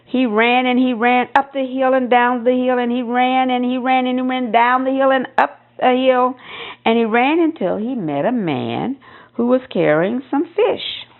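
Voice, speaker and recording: A woman reading a children's picture book aloud in an expressive storytelling style, with emphasis on repeated phrases. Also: high voice, normal speed, phone-quality sound. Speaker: storybook reader.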